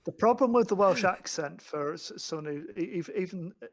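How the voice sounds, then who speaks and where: A man's voice: high voice, animated, brisk. A man co-hosting a casual film podcast, rambling through explanations with stammering restarts. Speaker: podcast co-host.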